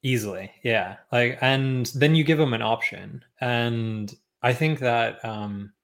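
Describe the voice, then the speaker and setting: A young man talking as a guest on a podcast, relaxed, with lots of ums and likes and frequent chuckles. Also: mid-range voice, slow pace. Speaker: podcast guest, tech founder. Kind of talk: casual interview chat.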